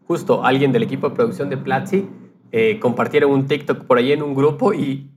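A man's voice: mid-range voice, fairly even intonation, brisk, slight background noise. A man in casual podcast conversation, telling anecdotes with retold quotes and the odd English loanword.

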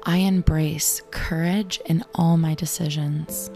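A young woman with a raspy voice, hosting a show and reading affirmations slowly and soothingly. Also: low voice, fairly even intonation. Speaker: affirmation and meditation host. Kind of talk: guided affirmations. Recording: clear music bed behind voice.

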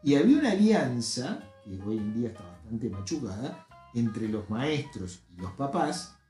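A man's voice: medium pitch, very animated, normal speed, mellow, echoey room, soft background music. A man, an author and educator speaking earnestly and emphatically as a podcast guest. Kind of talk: casual podcast conversation.

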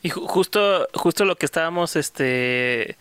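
A man co-hosting a casual interview over a video call, with animated, quick asides. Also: on the high side, smooth. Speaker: co-host.

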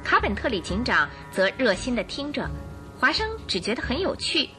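Animated woman narrating, music behind. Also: a little high, normal speed. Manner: expressive storytelling. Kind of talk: scripted radio drama narration.

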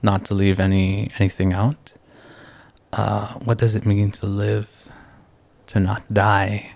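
A young man from the Philippines, a guest talking in a relaxed, reflective way, pausing often and laughing easily. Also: on the low side, creaky, dry recording. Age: young adult. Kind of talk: casual interview chat.

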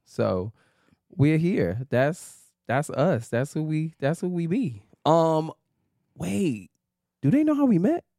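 A man's voice: fairly high pitch, sing-song, slow, vocal fry, mellow, no room sound, clean studio sound. A man, co-hosting a faith podcast in a playful, laughing, easygoing chat. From New York. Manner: playful, hyped, loose and laughing. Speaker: podcast co-host. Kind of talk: casual chat.

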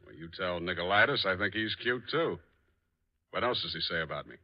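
An actor playing a wisecracking hard-boiled private detective, terse and deadpan, in 1940s radio style. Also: male voice, sits low, brisk, no echo. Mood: terse, sardonic. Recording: muffled, dull 1940s radio recording.

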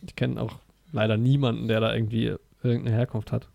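Gravelly, enthusiastic man. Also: medium pitch, level tone, quick pace, a little mellow, no room sound. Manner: enthusiastic, rambling, many fillers and restarts. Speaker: podcast co-host. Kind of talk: casual film discussion.

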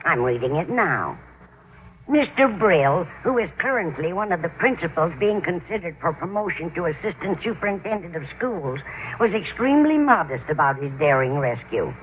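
On a thin, hissy old radio broadcast, a kindly older landlady in a vintage comedy. She is motherly and muddled, with an animated voice that swoops up and down as she fusses. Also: fairly low pitch, moderate pace, narrow, tinny audio. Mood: reading aloud. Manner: dithery, literal-minded, motherly. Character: kindly, scatterbrained older landlady, fussing and easily distracted. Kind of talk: 1940s-50s radio comedy acting.

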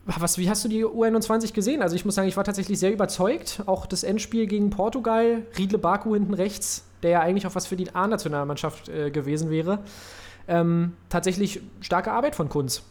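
A man's voice: high voice, brisk, a little mellow. Hesitant man co-hosting a football podcast. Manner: hesitant, many fillers. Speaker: podcast co-host. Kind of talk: casual football chat.

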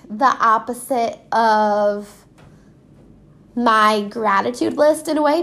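A woman's voice: fairly high pitch, creaky. A woman chatting casually and unscripted as a solo host, rambling informally, with a stuffy, slightly scratchy voice congested from allergies. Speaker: podcast host. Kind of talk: casual solo chat about personal habits.

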